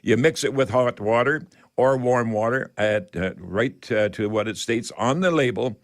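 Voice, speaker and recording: A man hosting a radio call-in show, giving home improvement advice in a halting, folksy, rambling way. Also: mid-range voice. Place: radio studio.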